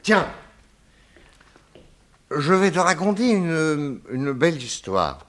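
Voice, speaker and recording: Tipsy, halting uncle detective, swooping intonation. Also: male voice, on the high side, sing-song, fairly bright tone, heavily acted. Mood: evasive, rambling. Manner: hesitant, halting, stammering. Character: tipsy rambling detective uncle, genial, telling his tale. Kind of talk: 1960s French radio drama acting.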